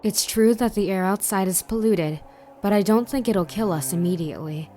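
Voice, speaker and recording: A woman's voice: medium pitch, moderate pace, mellow, natural voice. A woman voicing a resolute, stoic teenage girl in an anime fan dub, earnest and restrained. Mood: calm, resolute.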